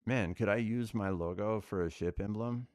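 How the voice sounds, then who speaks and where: A man's voice: lowish voice, normal speed, a little mellow, no room sound, clean studio sound. A man chatting casually on a gaming livestream, laid-back and musing aloud to viewers. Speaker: live streamer.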